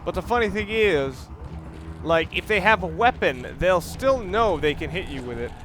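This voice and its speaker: Higher-voiced man commentating over noisy recording. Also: expressive, moderate pace. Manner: explanatory, analytical, self-correcting. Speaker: gameplay commentator. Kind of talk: casual let's play commentary. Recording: noisy recording with clear background noise.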